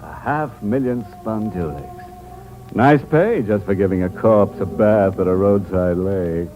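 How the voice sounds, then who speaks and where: A man's voice: lowish voice, close to an everyday voice. An actor playing the sly, sardonic host of a horror radio show, chuckling and drawing out his words. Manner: sardonic, chuckling, drawn-out delivery. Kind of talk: 1950s radio drama host. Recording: dull old radio recording with music.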